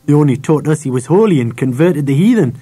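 A man's voice: on the high side, lively intonation, quick pace, warm tone, breathy edge, no room sound, pitched above the performer's own voice. A man voicing a stubborn, guarded schoolboy in an audiobook, talking in a plain, blurting, colloquial way. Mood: matter-of-fact. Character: stubborn, guarded working-class northern schoolboy.

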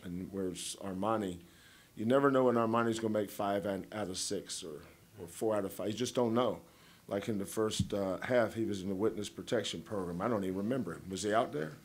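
A man's voice: on the low side, brisk. A man, a head basketball coach, answering questions at a postgame press conference in a folksy, rambling way, full of homespun analogies and teasing.

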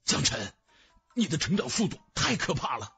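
A man voicing a frank, respectful warrior comrade in a fantasy audiobook, earnest and measured. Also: fairly high pitch, big pitch swings, creaky, fairly bright tone, husky, faint music behind, heavily acted. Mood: amazed.

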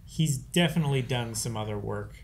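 A man's voice: mid-range voice, brisk, slight background noise. A man in his thirties with an American accent, chatting casually and bluntly as a show host. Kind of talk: casual chat. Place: indoor studio with set shelves.

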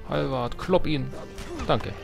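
A man's voice: medium pitch, takes it slowly, gravelly, faint music behind. A man giving casual, jokey gameplay commentary, slangy and often talking to himself and to his game units. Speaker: let's player.

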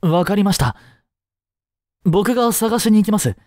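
A man playing a caring, easygoing high school teacher in a voice drama, speaking conversationally with gentle concern. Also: expressive, moderate pace, no echo, studio quality. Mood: worried, polite. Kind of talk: scripted Japanese voice drama acting.

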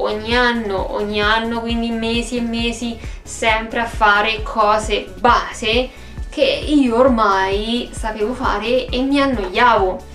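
A woman talks in a fairly high voice, chatty and expressive. She sounds like a vlogger addressing the camera casually from home. The room rings noticeably around her, and some music plays behind. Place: small room at home, indoors. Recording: roomy, echoey home recording.

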